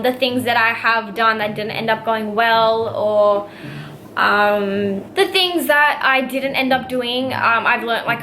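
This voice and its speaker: A woman's voice: a little high, pushed and bright, slight background noise. A nineteen-year-old Australian woman answering viewer questions in a casual vlog, giggly and bubbly, full of "like" and fillers. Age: young adult, nineteen. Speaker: vlogger. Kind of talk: casual Q&A to camera.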